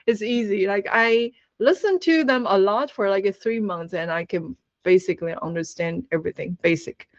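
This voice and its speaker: A woman's voice: mid-range voice, normal speed, few pauses, no echo. Taiwanese-accented woman on a dull video call. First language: Taiwanese Hokkien. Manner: earnest, laughs often, frequent fillers. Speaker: webinar guest speaker. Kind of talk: presenting in a webinar.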